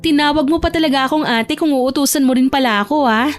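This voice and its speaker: A bossy big-sister type voiced by a female narrator nags playfully in a high voice. She teases as she goes, in audio drama style, with music underneath. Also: fast, natural voice. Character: bossy, teasing older female cousin. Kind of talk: Tagalog romance audio drama acting.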